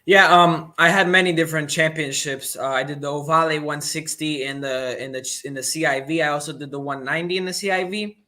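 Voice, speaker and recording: A young man from the United States answers interview questions in short, halting bursts, filling the gaps with "um" and "basically." His voice is bright and a little high. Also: fairly even intonation. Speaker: guest, young racer.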